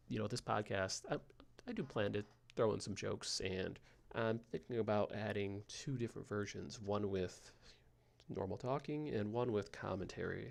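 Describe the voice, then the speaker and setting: A young man with a soothing, somewhat low voice that has a gravelly fry to it. He rambles softly and slowly as the host of a sleep podcast, with plenty of fillers. He speaks into a home computer microphone. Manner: soft, slow and meandering, with frequent fillers.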